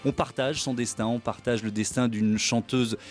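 A man co-hosting a French radio talk show, introducing guests and asking questions in a measured way with small hesitations. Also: medium pitch, steady tone, faint music behind. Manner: measured, interviewing with fillers. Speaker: radio co-host.

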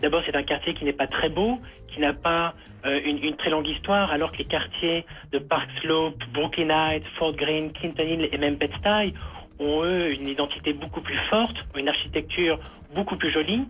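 A man's voice: fairly high pitch, steady tone, normal speed, fairly bright tone, faint music behind. A man answering interview questions on the radio, hesitant with many fillers and restarts. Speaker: guest, property renovator in Brooklyn.

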